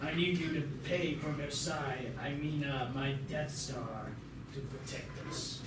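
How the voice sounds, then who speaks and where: A high-voiced, pompous dark emperor played by a man in a parody puppet show. He is greedy and muddles his grand words. The recording is very echoey, made far from the mic with loud noise. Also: steady tone, measured pace, lightly acted. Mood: pompous, flustered. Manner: pompous, tongue-tripping. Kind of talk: amateur comic puppet-show acting.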